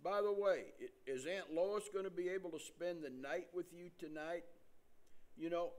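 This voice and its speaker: An older man playing a tactless, joke-telling hospital visitor in a comic stage reading, speaking with deliberate timing. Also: high-pitched, natural voice. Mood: ominously helpful.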